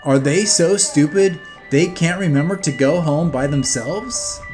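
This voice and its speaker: Higher-voiced man, blunt opinion narration. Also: unhurried, loud music behind the voice. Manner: blunt, emphatic, scornful. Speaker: video narrator.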